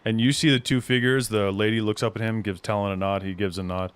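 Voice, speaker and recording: A man's voice: fairly low pitch, fairly even intonation, fast, smooth. A man running a tabletop game as game master, narrating scenes in a casual, conversational, descriptive way.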